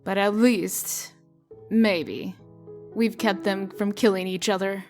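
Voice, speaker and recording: Woman acting as brisk female official, sardonic, firm authority, mid-pitched, comic dub drama, music underneath. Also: steady tone, a little mellow. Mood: weary. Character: brisk, shrewd female official laying down the law.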